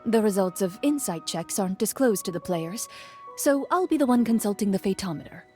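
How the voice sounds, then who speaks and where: An actress playing a composed game master who narrates a tabletop adventure in a measured storytelling way. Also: female voice, medium pitch, lively intonation, mellow, faint music behind, natural voice. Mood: explanatory. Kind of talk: scripted narration.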